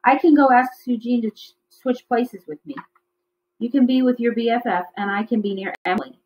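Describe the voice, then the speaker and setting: A woman's voice: a little high, steady tone, normal speed, a little mellow, pitched above the performer's own voice, lightly acted. A woman voicing a teasing, casual schoolboy in a storybook. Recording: slightly dull, lo-fi recording.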